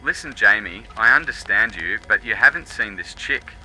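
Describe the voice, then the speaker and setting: A man's voice: lowish voice, animated, normal speed, sharp tone, full character voice, low-quality recording. A man playing a cocky, laddish recon worker with a brash, teasing manner in a dramatised audiobook. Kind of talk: dramatised audiobook radio dialogue.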